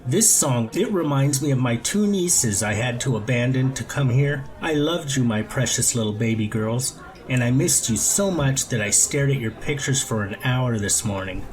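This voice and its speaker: A man's voice: mid-range voice, animated, moderate pace, lightly acted, rough recording. A man voicing a creepy, oddly earnest male karaoke singer in a storybook reading, with an overwrought manner. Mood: sentimental.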